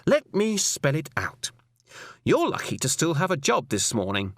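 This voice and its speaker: Man acting as stern female police chief, scornful, clipped, lecturing, audiobook dialogue, very varied pitch, close dry sound. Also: wildly swooping pitch, moderate pace, no room sound. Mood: angry, stern. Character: cold, stern senior policewoman, scornful and exasperated.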